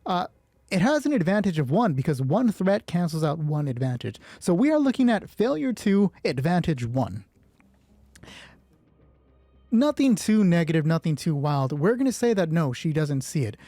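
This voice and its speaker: A man narrating a solo tabletop roleplay as its host, moving between hushed dramatic storytelling and chatty explanations of the dice. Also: fairly high pitch, sing-song, moderate pace, no room sound.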